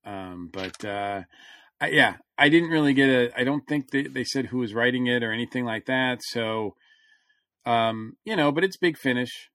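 Male podcast co-host, average pitch, dry close sound, casual fan chat with halting, searching phrasing. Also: a bit bright, dry recording. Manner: halting, searching for words mid-thought. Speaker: podcast co-host discussing science fiction. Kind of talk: casual, fannish panel chat.

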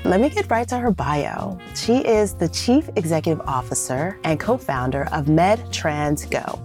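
A woman in her thirties hosting a podcast interview, talking in an upbeat, animated way. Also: low voice. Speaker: podcast host. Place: Atlanta.

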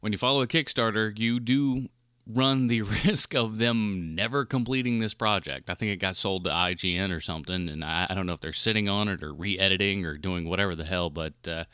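A man's voice: deep voice, brisk, pushed and bright, clean tone, no room sound, studio quality. A man hosting a film podcast solo in a casual, rambling, informal and mildly profane way. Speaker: podcast host reviewing films.

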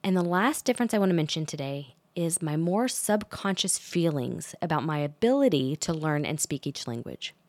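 A woman hosting a solo podcast, talking in a calm, reflective and measured way. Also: deep voice, no room sound. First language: English. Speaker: podcast host.

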